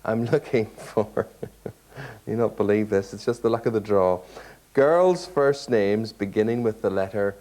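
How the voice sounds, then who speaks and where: A man's voice: on the low side, measured pace, a little mellow, slight background noise. A man hosting a game show, explaining the rules and teasing the contestants in a chatty way.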